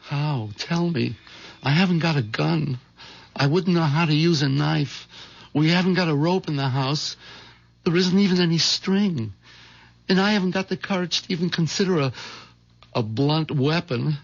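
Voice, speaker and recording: An actor playing a meek, henpecked husband with a nervous, stammering delivery in an old radio drama. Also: male voice, on the high side, normal speed, creaky. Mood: frantic, exasperated. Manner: stammering, flustered, whiny. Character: meek, henpecked husband, nervous bungling would-be wife killer. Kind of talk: 1970s radio drama acting with narration. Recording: dull vintage 1970s radio recording.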